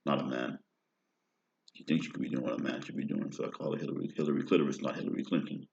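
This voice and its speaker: A man's voice: extremely low pitch, steady tone, fast talker, gravelly, darkish tone, a little hiss or hum. A man in his thirties with an American accent, talking in a meandering personal monologue and often checking in with "right?". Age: 30s. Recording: roomy, dull lo-fi recording.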